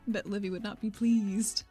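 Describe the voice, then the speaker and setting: A woman's voice: mid-range voice, fairly even intonation, slow, warm tone. Polite female tabletop player over faint music. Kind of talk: casual role-play and table chat.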